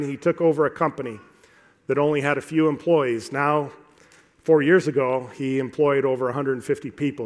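A middle-aged man preaching a sermon to a congregation in an earnest, emphatic way, repeating phrases deliberately for effect. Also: mid-range voice.

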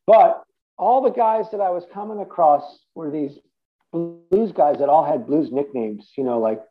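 A man in his fifties from the Boston area, telling stories as an interview guest in a rambling, casual way with many restarts. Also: mid-range voice. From Boston area, USA. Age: 50s. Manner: rambling storytelling with many restarts and "you know". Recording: tinny phone-like line.

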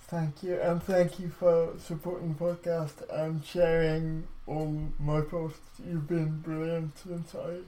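A male podcast host with a soft-edged, mellow voice. He speaks at a slow, unhurried pace in a conversational interviewing style. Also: a little high, flat delivery, rough recording.

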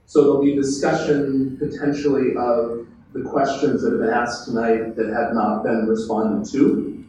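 A man's voice: medium pitch, steady tone, a little slow, lo-fi sound. A man at a public meeting putting pointed, pressing questions to officials. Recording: echoey, noisy meeting audio from far off.

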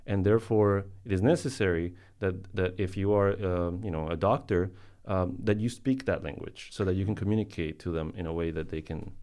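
Male podcast guest, Colombian-born native Spanish speaker, very low pitch, halting conversational delivery. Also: a little mellow. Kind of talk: casual podcast interview talk.

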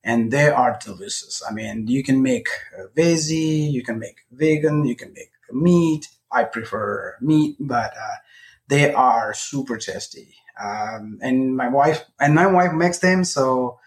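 A man in his forties from Nepal, a podcast guest talking in a friendly way, pausing often with fillers. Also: medium pitch. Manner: friendly, halting with many fillers. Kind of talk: casual interview chat.

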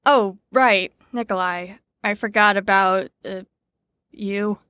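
A woman's voice: medium pitch, fairly even intonation, slow, a bit bright, dry recording, clean studio sound. A woman playing a sarcastic, breezy psychology teacher in an audio drama, with a mock-cheerful manner. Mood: sardonic.

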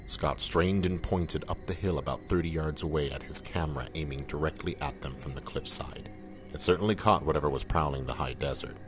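An actor as a grave, measured male storyteller, narrating a scripted audio drama. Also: extremely low pitch, over music. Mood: grave, descriptive.

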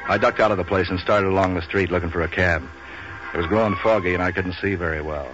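An actor playing a tough, cynical, street-wise man for hire in a 1940s radio detective show, with an American accent and clipped, deadpan wisecracking delivery. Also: deep voice, fast talker, music in the background, lightly acted. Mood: sardonic narration. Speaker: lead character and narrator. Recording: dull, noisy old radio recording.

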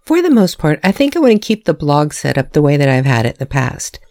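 Woman podcast host, candid and self-mocking, gravelly low voice, close and dry. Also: sing-song, fast, a little mellow, no echo. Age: adult, married. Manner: candid, self-deprecating, laughs at herself. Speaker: author hosting her own podcast. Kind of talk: reading a prepared personal talk.

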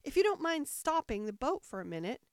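A woman's voice: a little high, very animated, normal speed, no room sound, studio quality. A woman voicing a polite, curious, dreamy little girl in a storybook, earnest and wistful. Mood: eager, pleading. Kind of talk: storybook character voice.

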